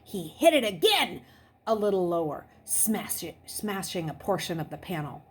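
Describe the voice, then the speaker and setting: A woman hosting a read-aloud, speaking in an expressive, deliberate storytelling way. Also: fairly low pitch, breathy edge. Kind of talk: chatty greeting, then expressive children's novel read-aloud. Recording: noisy background.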